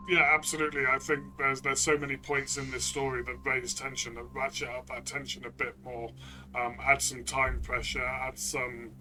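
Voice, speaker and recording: A man discussing a game conversationally as a player and reviewer, reflective and pausing mid-phrase. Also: medium pitch, bright, forceful tone.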